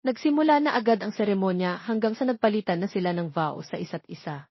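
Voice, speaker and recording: A woman's voice: mid-range voice, expressive, fast, husky, dry recording, muffled recording. A woman with a Filipino accent reading a scripted romance story as an audiobook narrator.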